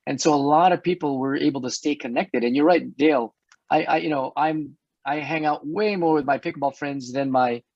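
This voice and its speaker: A middle-aged man hosting an online health talk, chatty and hoarse, often restarting his words and laughing. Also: fairly high pitch, a little mellow, no echo. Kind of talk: casual panel chat. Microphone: video call. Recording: dull dry video-call audio.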